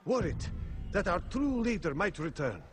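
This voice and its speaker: A man's voice: high-pitched, sing-song, moderate pace. A man playing a cynical, suspicious city workman in a video game, talking sardonically. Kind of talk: video game dramatic acting.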